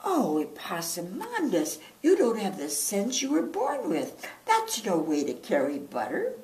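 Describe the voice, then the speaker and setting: A woman voicing an exasperated possum mother in a children's storybook, scolding in an emphatic, drawn-out way. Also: medium pitch, huge pitch swings, a little mellow, voice pushed up. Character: scolding, exasperated, doting possum mama with Southern folksy talk. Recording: roomy, distant mic, faint noise.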